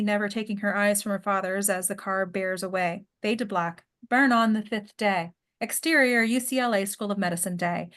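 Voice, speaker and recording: Woman narrating stage directions, mid-pitched. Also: a bit bright. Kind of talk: scripted narration at a table read.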